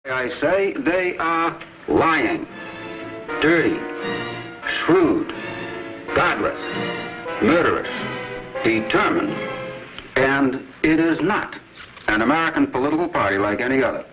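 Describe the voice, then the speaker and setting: A man giving a forceful, deliberate, denunciatory political speech. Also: mid-range voice, lively intonation, music in the background. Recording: dull lo-fi archival broadcast audio.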